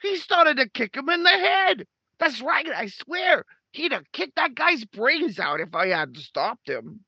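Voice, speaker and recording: A performer playing an excitable young schoolmate witness in a 1950s radio drama. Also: high-pitched, unhurried, vocal fry, highs cut off, full character voice. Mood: excited, insistent.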